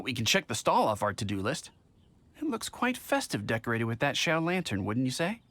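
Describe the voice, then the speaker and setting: A man's voice: medium pitch, a bit bright. A man playing a genial, practical middle-aged innkeeper in a video game, chatty, thoughtful and polite. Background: music.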